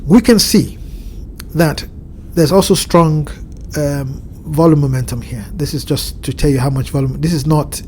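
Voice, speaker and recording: Nasal, congested man with a dark voice. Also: a little high, a little hiss or hum. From Southern Hemisphere. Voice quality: slightly nasal. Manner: measured, explanatory, with frequent ums and restarts. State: blocked nose from a cold. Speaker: crypto market analyst. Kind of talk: screen-share chart walkthrough. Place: home at evening, indoors.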